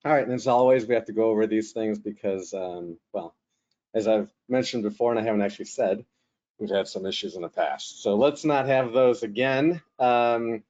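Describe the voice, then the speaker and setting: A man's voice: lowish voice, normal speed, darkish tone. Joking man hosting a webinar over a call. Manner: joking, rambling with frequent fillers. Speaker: webinar host and moderator. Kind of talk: casual, chatty webinar hosting. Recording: slightly muffled webinar audio.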